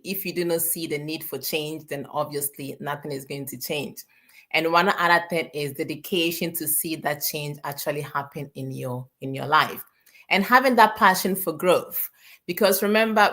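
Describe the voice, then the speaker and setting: A woman's voice: low voice, a bit bright. A woman from Ghana speaking earnestly on an online panel, encouraging listeners and repeating phrases for emphasis. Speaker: guest speaker. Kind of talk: live online panel talk.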